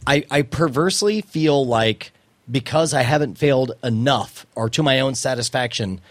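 A man's voice: medium pitch, moderate pace, no room sound. A man chatting casually as a podcast co-host, joking with theatrical turns of phrase. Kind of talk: casual chat.

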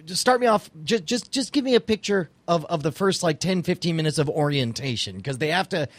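An eager man co-hosts a casual podcast and fires off curious questions, often restarting his sentences in his excitement. His voice sits a little high, and the recording is close and dry. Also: fairly quick, no echo. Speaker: podcast co-host. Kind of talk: casual chat.

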